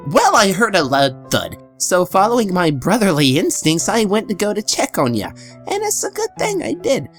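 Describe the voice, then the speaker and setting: A woman playing an excitable, wildly swooping skeleton brother. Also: medium pitch, moderate pace, faint music behind. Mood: excited, earnest. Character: earnest, excitable young male skeleton brother. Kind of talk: comic dub voice acting.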